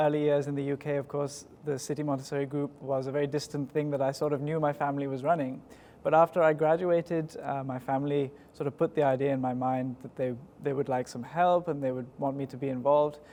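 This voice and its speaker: A man in his twenties with a southern English accent, giving measured, articulate and polite interview answers in a formal way. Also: medium pitch, a little mellow. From United Kingdom. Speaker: school executive, interview guest. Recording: echoey hall with background noise.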